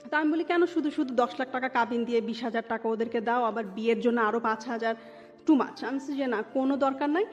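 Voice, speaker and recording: Higher-pitched Bangladeshi woman in reverberant dull audio. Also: quick pace. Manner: mixes English words into Bengali. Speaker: interview guest telling her life story. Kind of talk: conversational personal storytelling. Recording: dull sound, long reverb, background music.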